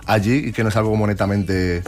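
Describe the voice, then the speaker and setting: Rapid-talking man, casual interview guest. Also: lowish voice, steady tone, a little mellow, soft background music. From Colombia. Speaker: interview guest, twerk dance teacher and academy owner.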